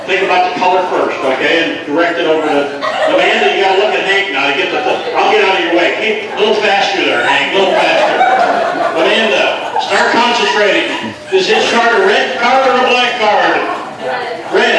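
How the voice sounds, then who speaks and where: A man's voice: high-pitched, fairly quick. A man performing live comedy magic patter, playfully instructing a volunteer in front of an audience. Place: live venue with audience, recorded from afar. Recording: echoey room, distant mic, background noise.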